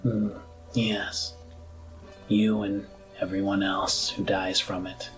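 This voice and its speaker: A man plays a formal, dismissive politician in a sci-fi tabletop game. He speaks in a fairly low voice, very deliberate and cool, over faint music. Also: little pitch movement, rough recording. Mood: cool, grave.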